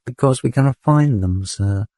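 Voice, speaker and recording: A man's voice: mid-range voice, normal speed, mellow, no echo, studio quality, pitched above the performer's own voice, close to an everyday voice. A man voicing an obedient male pesticide robot that answers promptly and formally, always saying sir. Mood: dutiful.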